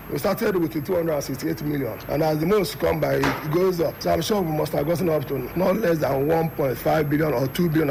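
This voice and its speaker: Gravelly higher-pitched Nigerian man. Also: a little mellow, a little hiss or hum, low-quality recording. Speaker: government official. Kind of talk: spoken account to a committee.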